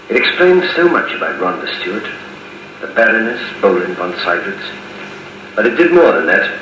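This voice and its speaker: An actor playing the grave narrator of a 1950s radio spy serial, telling the story dramatically. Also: male voice, fairly low pitch, bright, forceful tone. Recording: very echoey, hissy vintage wire recording.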